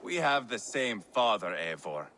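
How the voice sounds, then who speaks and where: An actor playing a brash Viking warrior with crude humour in a video game, sardonic and irreverent. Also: male voice, lowish voice, sing-song, a little slow, bright, forceful tone, slight background noise, exaggerated voice. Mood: crude jesting. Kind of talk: dramatic video game acting.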